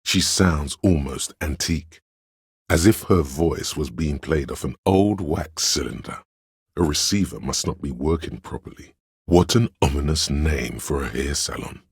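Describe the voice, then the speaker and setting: A man voicing a pompous, opinionated inner voice of artistic imagination in a video game, narrating theatrically and deliberately in a very deep, gravelly, husky voice. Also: no room sound, clean studio sound, heavily acted. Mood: appraising. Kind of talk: dramatic second-person game narration.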